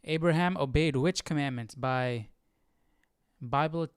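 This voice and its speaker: A man's voice: on the high side, a little slow, clear, resonant tone, dry recording, studio quality. A man hosting a live Bible study, informal and curious, thinking aloud as he chats and reads. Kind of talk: casual livestream chat and reading aloud.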